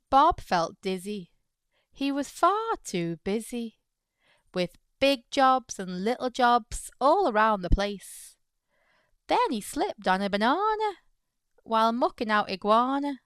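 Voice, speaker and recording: Highly animated woman reading children's stories. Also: smooth, no echo, studio quality. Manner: expressive, playful storytelling. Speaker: children's storytime host and narrator. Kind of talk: animated read-aloud narration of a picture book.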